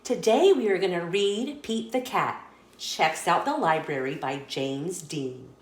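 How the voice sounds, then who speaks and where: A woman reading a children's picture book aloud as a story host, in an expressive, deliberate storytelling manner. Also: fairly low pitch, roomy sound.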